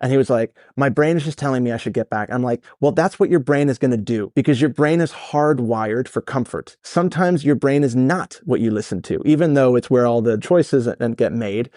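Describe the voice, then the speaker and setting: Energetic Dutch-born man with an American accent. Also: medium pitch, a little fast, few pauses, no echo, clean studio sound. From Netherlands, raised in California. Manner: energetic, rambling, swears casually. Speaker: guest, startup founder. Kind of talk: casual podcast interview.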